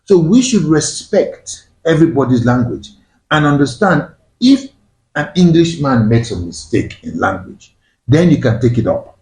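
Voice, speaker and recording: A man whose first language is Igbo hosts a panel discussion, pressing his guests with emphatic questions. His pitch swings widely as he talks. The sound carries a roomy echo. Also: big pitch swings, unhurried, darkish tone. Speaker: host. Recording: echoey room.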